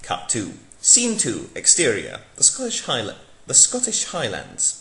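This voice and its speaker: A man gives a theatrical, mock-dramatic reading of a fan script. His voice is bright and forceful, with animated pitch, recorded in an echoey room. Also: a little slow. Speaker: narrator, dramatic reader.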